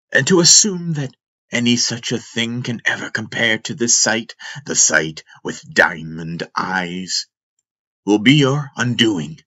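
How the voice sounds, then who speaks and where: A man's voice: lowish voice, bright, forceful tone, exaggerated voice. A man voicing an aging, wise spiritual father and ruler in a fantasy audiobook, grave, exhorting and earnestly pleading. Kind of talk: fantasy audiobook character reading.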